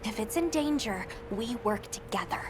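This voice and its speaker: A woman's voice: fairly high pitch, lively intonation, normal speed, noisy background, lightly acted. A woman playing an earnest, polite young expedition member in a video game, speaking in an explanatory way. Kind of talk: video game character acting. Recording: loud music behind the voice.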